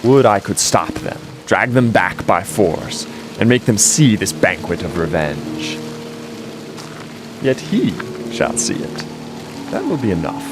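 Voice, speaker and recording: A man's voice: fairly low pitch, very animated, takes it slowly, noisy background. An actor playing a vengeful, gloating king in a classical tragedy, grandiose and theatrical. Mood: bitter, vengeful. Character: vengeful gloating king savoring his brother's ruin. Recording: music under the voice.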